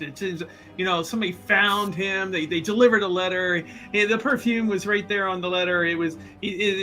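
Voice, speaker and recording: A man's voice: high voice, pushed and bright. A man playing a tabletop roleplaying game, casually improvising a description of his character in a rambling, hesitant way.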